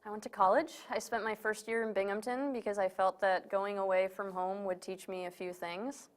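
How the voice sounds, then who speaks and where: A woman's voice: mid-range voice, fairly even intonation, moderate pace. A young woman from New York City, born in Romania, answering casually as an interview guest. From born in Romania, raised in New York City. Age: young adult. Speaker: interview guest, community organizer.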